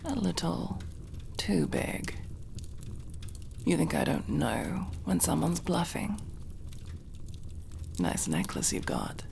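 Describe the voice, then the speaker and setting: Woman acting a gravelly, low-voiced menacing elf woman. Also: big pitch swings, a little mellow, a little hiss or hum, exaggerated voice. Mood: smug, menacing. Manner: taunting, drawn-out delivery dropping into breathy whispers. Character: menacing masked elf woman, mocking and defiant interrogator. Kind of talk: ASMR roleplay acting.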